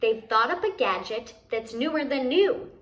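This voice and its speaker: A woman's voice: a little high, lively intonation, normal speed, fairly bright tone. A woman voicing an upbeat, commanding male chief in a rhyming storybook, reassuring and boastful as she gives instructions. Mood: proud, commanding. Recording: noticeable background noise.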